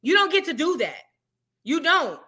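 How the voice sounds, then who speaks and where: A woman's voice: high voice, sing-song, normal speed, sharp tone. A woman giving blunt, questioning commentary as she reacts to a clip.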